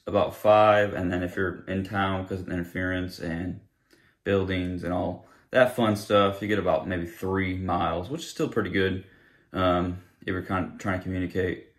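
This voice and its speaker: A young man with a low-pitched voice talks through a product review. His delivery is casual and unscripted, explaining each point in plain words. He is recorded in a living room, a short distance from the camera's microphone. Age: young adult.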